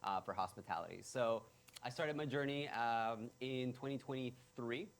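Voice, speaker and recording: A man's voice: mid-range voice, moderate pace, clear, resonant tone. A young man from Ecuador, a college senior, chatting as an upbeat, easygoing TV interview guest. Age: young adult. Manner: easygoing, upbeat, laughs easily. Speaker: college student.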